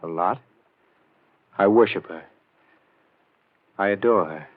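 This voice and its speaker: Genial devoted husband, lowish voice, formal and polite, 1940s radio play, narrow phone-like sound. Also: male voice, slow. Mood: earnest, uneasy. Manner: hearty, polite, formal mid-century delivery. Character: genial, well-bred husband, unsuspecting and devoted. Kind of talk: 1940s radio drama acting. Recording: tinny, narrow, roomy old radio broadcast.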